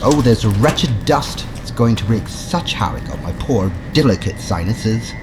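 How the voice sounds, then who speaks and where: A man's voice: fairly low pitch, lively intonation, measured pace, over music. A man playing a fussy, self-pitying spaceship first officer in an audio drama, prissy and theatrical in his complaining. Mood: fussy complaint.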